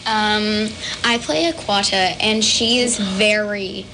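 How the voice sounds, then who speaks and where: Teen girl guest, lively, giggly, noisy room. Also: medium pitch, slow pace. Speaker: young actor guest.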